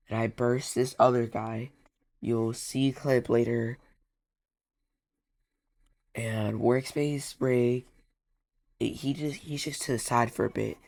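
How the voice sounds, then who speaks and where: A man's voice: mid-range voice, vocal fry, a little mellow. A young man with a congested, stuffy voice, telling a casual rambling story about a game and repeating himself often. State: congested from a cold. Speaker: gaming YouTuber.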